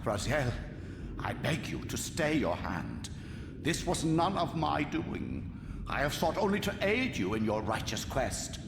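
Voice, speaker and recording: An actor playing a sly, pious old man in a video game, an oily schemer with a creaky, aged, grandiloquent delivery. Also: medium pitch, big pitch swings, unhurried. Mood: wheedling. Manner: oily, pleading, grandiloquent, swooping. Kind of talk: theatrical game cutscene acting. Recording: echoey hall reverb, music underneath. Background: game score.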